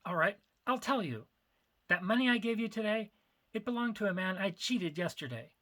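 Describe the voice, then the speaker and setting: Man putting on a high, frantic little swindler. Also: lively intonation, moderate pace, bright, forceful tone. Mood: defensive, nervous. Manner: frantic, blurting. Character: panicky, nervous little male art dealer, cheating schemer. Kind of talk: dramatic story reading.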